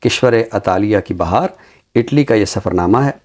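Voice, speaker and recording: A middle-aged man with a Pakistani accent and a fairly low voice. He presents literature in a measured, formal way. He often repeats a phrase to drive a point home. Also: darkish tone. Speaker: literary show presenter and book reviewer. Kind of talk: measured literary talk with poetry recitation.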